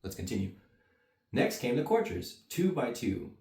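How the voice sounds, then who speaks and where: A man's voice: mid-range voice, sing-song, moderate pace, mellow. A man reading a bedtime story to children with casual asides. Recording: roomy echo, mic at a distance.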